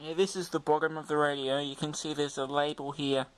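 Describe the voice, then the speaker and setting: A man's voice: on the high side, level tone, a little fast, a little hiss or hum, low-quality recording. A man with an Australian accent giving a casual, unscripted show-and-tell, thinking aloud in a hesitant, detail-focused way. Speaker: vintage radio hobbyist, video maker. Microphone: handheld camera or phone microphone.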